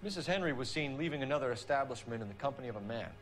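An actor playing a brisk, stern police detective in a video game, clipped, official and pressing. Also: male voice, medium pitch, lively intonation, natural voice. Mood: businesslike. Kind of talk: cinematic video game acting.

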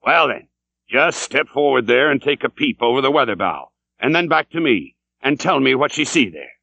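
A man's voice: expressive, normal speed, gravelly, pushed and bright, dry recording, lo-fi sound, heavily acted. A man voicing a fierce, blustering old male sea captain who barks archaic questions. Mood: fierce, lecturing. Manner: booming, archaic, interrogating.

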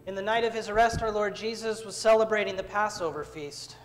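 A man serving as pastor, leading the communion liturgy in a measured, solemn way, recorded from a far camera in a church sanctuary. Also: sits high, over music. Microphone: far camera at back of church. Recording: church livestream with long reverb.

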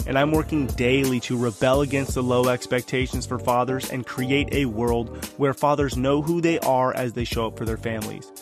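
A man in his forties hosting a podcast, friendly and upbeat, in easy casual chat. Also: medium pitch. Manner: upbeat, friendly, laid-back. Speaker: podcast host.

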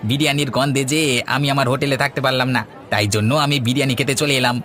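Man as fast-talking eager young worker. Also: medium pitch, fairly even intonation, a bit bright, natural voice. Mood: eager, flattering. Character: eager, outspoken young male hotel worker. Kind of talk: Bengali cartoon dialogue.

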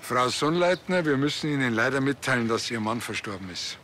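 A man's voice: mid-range voice, animated, brisk, bright, forceful tone, slight background noise. An actor playing a cheeky, bumbling village policeman in a TV comedy drama, speaking with a Bavarian accent in a rambling, mock-solemn way.

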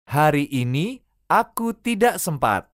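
A woman reading short phrases slowly and clearly, each repeated for language learners. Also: deep voice, big pitch swings, no echo, studio quality. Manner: careful, clearly enunciated. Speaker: native Indonesian speaker reading phrases.

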